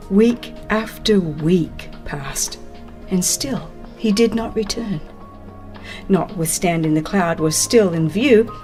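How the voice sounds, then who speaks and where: A woman reads a religious history as a dramatized audiobook, in a solemn, measured manner with deliberate phrasing. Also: medium pitch, a little mellow. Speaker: audiobook narrator. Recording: music under the voice. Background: background music.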